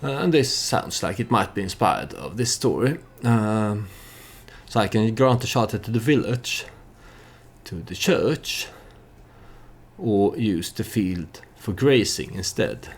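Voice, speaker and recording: A man hosting a let's play, giving storytelling game commentary in a halting way, as if thinking aloud. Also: lowish voice, expressive, husky.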